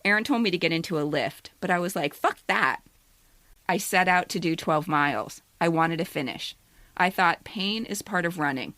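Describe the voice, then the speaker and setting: A woman's voice: on the low side, normal speed, bright, forceful tone, dry recording. A woman in her fifties reading a scripted personal essay as a podcast co-host, with a candid, wry, self-deprecating manner. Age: 50s.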